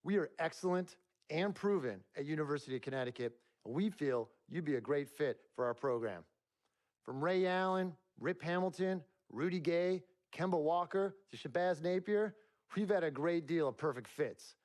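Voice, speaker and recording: Man as straight shooting college recruiter in a game, sure of himself, slightly high voice. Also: normal speed, a little mellow, lightly acted. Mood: confident, direct. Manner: direct, self-assured.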